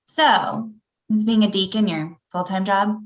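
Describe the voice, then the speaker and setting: A woman's voice: mid-range voice, fairly even intonation, unhurried, natural voice. A woman playing a prim, disapproving churchgoing woman in a comedy script read. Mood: judgmental.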